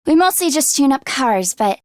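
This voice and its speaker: A teenage girl mechanic from a video game, with a high, youthful voice and a close, dry sound. She is a friendly tomboy, earnest and a little anxious, and speaks casually and expressively. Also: big pitch swings, moderate pace, a little mellow, no echo. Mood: friendly, curious. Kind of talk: English video game voice acting.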